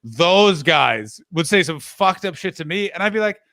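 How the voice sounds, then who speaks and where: Bright, animated man from Canada. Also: on the high side, normal speed, dry recording. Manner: animated storytelling, swearing, acts out quotes. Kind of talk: casual chat.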